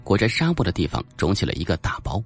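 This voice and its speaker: A man's voice: sits low, huge pitch swings, fast talker, breathy edge, faint music behind. A man narrating a suspense novel in a scripted, dramatic audiobook style.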